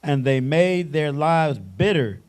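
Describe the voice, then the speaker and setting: A man reading scripture aloud in a deliberate, measured way. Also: fairly high pitch, expressive, a little mellow. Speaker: scripture reader.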